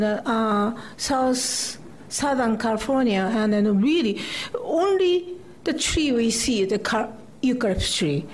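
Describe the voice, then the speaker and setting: A woman from Japan, a poet speaking English with a Japanese accent and Japanese as her first language. She talks haltingly with many fillers and laughs, then reads her poems aloud at a live festival reading. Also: on the high side, a little mellow, slight background noise, rough recording. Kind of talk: live poetry reading with chatty introduction. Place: festival stage before an audience.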